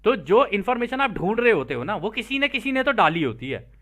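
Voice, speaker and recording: High-pitched, animated man explaining. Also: fairly quick. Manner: explanatory, addresses listeners directly with frequent check-in tags. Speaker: host and explainer. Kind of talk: reading a translated text with casual spoken commentary.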